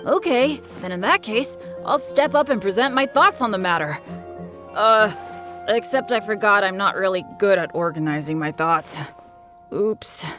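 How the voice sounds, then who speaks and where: A woman's voice: mid-range voice, animated, normal speed, music in the background, close to an everyday voice. A woman voicing a friendly, modest teenage boy adventurer in a video game, with a casual, eager delivery. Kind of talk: video game character dialogue.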